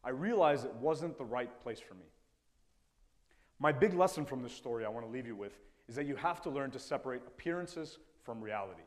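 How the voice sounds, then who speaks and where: A man in his twenties from Ecuador, a native Spanish speaker, telling personal stories in an earnest, reflective talk on stage. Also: medium pitch. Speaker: TEDx speaker. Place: stage in a lecture hall. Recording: reverberant hall sound.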